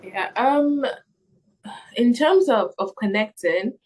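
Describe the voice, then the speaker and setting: A young woman speaking as a missionary being interviewed, giving reflective answers in an earnest, halting way as she thinks aloud. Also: on the high side, expressive, darkish tone, rough recording. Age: young adult.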